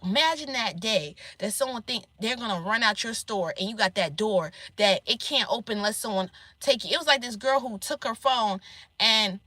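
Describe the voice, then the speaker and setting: Squeaky, bright-voiced woman speaking bluntly. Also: normal speed, no echo. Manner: blunt, profane, preachy. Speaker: self-employed vlogger giving life advice. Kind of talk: casual monologue to camera.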